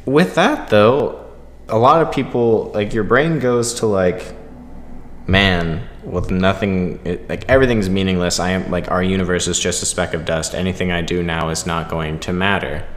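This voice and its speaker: A man's voice: low voice, fairly bright tone, a little hiss or hum. A man co-hosting a podcast, rambling casually and jokily in informal, sweary talk, recorded with echoing reverb. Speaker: podcast co-host. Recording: echoey hall-like reverb.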